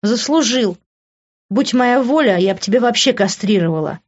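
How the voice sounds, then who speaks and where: A man voicing a bitter, wounded young woman with loud, accusing, scornful delivery in an audiobook. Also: extremely high pitch, lively intonation, normal speed, highs cut off, pitched above the performer's own voice.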